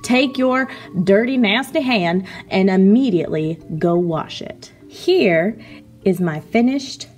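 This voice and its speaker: A woman art teacher giving a slow, patient, step-by-step lesson to children over a video of her hands at work. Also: mid-range voice, animated, a little mellow, soft background music.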